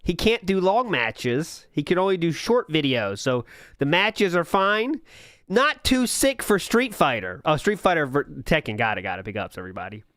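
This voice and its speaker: A man's voice: fairly high pitch, sing-song, normal speed, a bit bright, no echo, clean studio sound. A man hosting a podcast, giving sarcastic, mocking commentary on old posts and live chat and laughing often. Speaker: podcast host.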